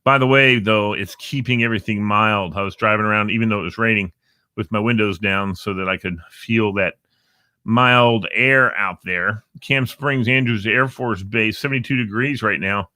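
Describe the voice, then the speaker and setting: A man's voice: on the low side, normal speed, sharp tone, dry recording. A man hosting a casual show, rambling playfully with jokey asides about times and weather. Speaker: show host. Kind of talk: casual live show chat. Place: Northern Virginia.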